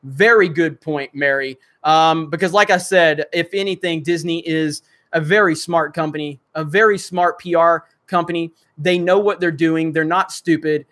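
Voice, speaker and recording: Guy with a high voice doing a livestream, lots of ums, reading out chat comments. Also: steady tone, moderate pace, a bit bright. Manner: rambling, many fillers, reads viewer comments aloud. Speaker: livestream host. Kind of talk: casual livestream chat reading super chats.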